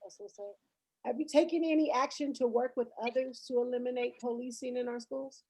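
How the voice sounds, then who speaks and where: A woman's voice: fairly high pitch, steady tone, brisk, warm tone, poor audio. An American woman hosting a conversational interview, affirming her guest and probing with short follow-up questions. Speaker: podcast host interviewing a guest.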